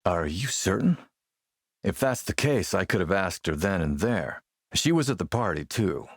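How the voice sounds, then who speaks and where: A man playing a suave video game warlord, coolly polite and mocking, with a measured, sardonic menace. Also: sits low, sing-song, no room sound, studio quality, exaggerated voice. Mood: suave, needling. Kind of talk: video game villain acting.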